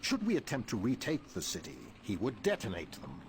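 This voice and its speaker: A commanding older mutant leader in a video game. His mid-pitched voice moves widely and theatrically, formal, stern and imperious, over faint noise. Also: huge pitch swings. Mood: grave. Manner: formal, measured authority.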